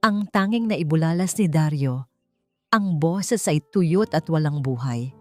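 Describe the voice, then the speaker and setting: A woman reading a dramatic scripted story in a solemn, measured, theatrical way. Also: low voice, lively intonation, warm tone, dry recording. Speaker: storyteller, narrator. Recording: dry voice over background music. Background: music.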